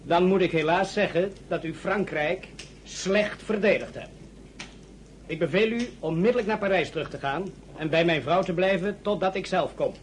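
A solemn, loyal colonel back from defeat, acted by a man in a radio drama. His voice is fairly high for a man, formal and respectful, over faint background noise. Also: normal speed, lightly acted. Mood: grave, emphatic.

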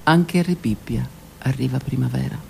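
Gravelly woman in a dramatic monologue. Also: a bass voice, moderate pace, a little mellow, slight background noise, rough recording. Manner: introspective, dramatic delivery, drops to whispers. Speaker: stage performer. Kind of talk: theatrical monologue for radio. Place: stage or studio performance.

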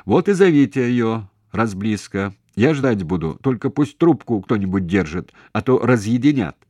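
A narrator plays an angry, impatient military man in an audiobook, shouting commands as if down a telephone. The voice is raised well above its usual pitch, forceful and animated, with a dry studio sound. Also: moderate pace, no room sound, close to an everyday voice. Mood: loud, demanding. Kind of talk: audiobook character voice.

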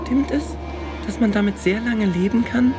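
A woman's voice: on the high side, normal speed, music in the background, close to an everyday voice. A woman playing a devoted, easily frightened young boy in a German radio play. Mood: curious, shy.

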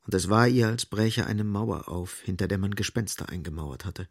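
An actor as the grave, sardonic narrator of a literary radio drama, speaking deliberately and with dramatic emphasis. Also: male voice, fairly low pitch, no room sound, studio quality.

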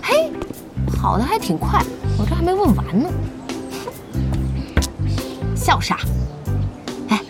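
Bossy young woman, animated. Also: extremely high pitch, slow pace, long pauses, loud music behind the voice, heavily acted. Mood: scolding, curious. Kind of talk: audio drama acting.

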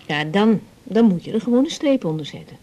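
A woman playing a soft, concerned young doctor talking as a daughter in a naturalistic radio drama, her voice husky and mellow. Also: mid-range voice, lively intonation, a little fast, lightly acted. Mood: coaxing, concerned.

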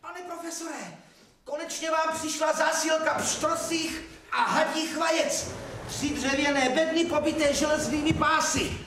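A man's voice: very high-pitched, fairly even intonation, roomy sound, noisy background. An actor playing an eager, obedient servant reporting to his master in a radio drama.

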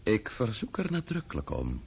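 An actor playing a pompous, condescending aristocratic count in a Dutch radio play, mannered and hesitant, with a husky voice. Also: male voice, on the low side, huge pitch swings, moderate pace, full character voice. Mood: flustered vanity. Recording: old muffled narrow-band radio recording.

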